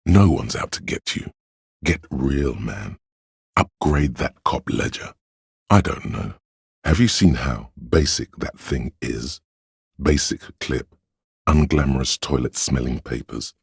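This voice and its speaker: A man voicing a snobbish inner voice of artistic taste in a video game, gravelly and husky, with a pompous, deliberate, theatrical delivery. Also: very deep voice, lively intonation, no room sound, studio quality, heavily acted. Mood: sardonic, disdainful. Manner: pompous, deliberate, disdainful, florid. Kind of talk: scripted game narration, theatrical.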